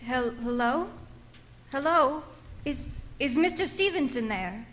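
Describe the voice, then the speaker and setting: A woman's voice: high voice, animated, takes it slowly, fairly bright tone, a little hiss or hum, exaggerated voice. A woman playing a nervous, querulous invalid wife in an old-time radio suspense play. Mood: anxious, confused. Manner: querulous, insistent. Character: nervous, fretful invalid wife, home alone at night.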